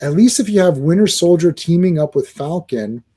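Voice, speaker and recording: Guy co-hosting a chatty podcast, kinda high mellow voice, rambles and restarts a lot. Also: moderate pace, husky. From Croatian family, born locally. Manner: animated, rambling, many restarts. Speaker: podcast co-host. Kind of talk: casual chat.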